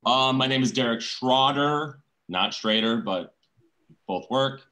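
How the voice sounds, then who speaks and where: A man's voice: mid-range voice, takes it slowly, pushed and bright. A man from Minnesota, a dance teacher, introducing himself over a video call with dry jokes. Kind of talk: casual chat.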